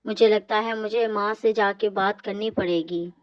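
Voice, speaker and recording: A woman playing a gentle, dutiful young daughter-in-law in a scripted cartoon story. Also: mid-range voice, steady tone, moderate pace, dry recording, dull audio, lightly acted. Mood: puzzled.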